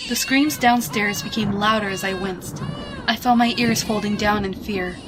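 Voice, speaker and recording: A woman giving a hushed, expressive, dramatic reading of a suspense story, with music behind her. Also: mid-range voice, moderate pace, background noise. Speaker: fan fiction reader.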